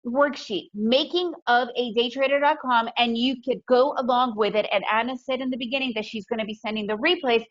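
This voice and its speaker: Russian-born woman presenter with a fairly high voice, upbeat and emphatic, on a muffled video-call mic. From Soviet Union, raised in the US. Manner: upbeat, emphatic, often adds "right?". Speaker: webinar presenter, day trader. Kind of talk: webinar presentation. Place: home in Guatemala. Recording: dull lo-fi webinar audio.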